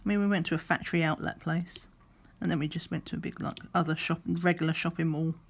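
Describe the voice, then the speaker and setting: Croaky chatty woman at a craft table. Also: deep voice, steady tone, fast. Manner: rambling, chatty, counts pieces under her breath. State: slightly croaky. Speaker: crafting vlogger. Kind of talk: relaxed chatty craft vlog.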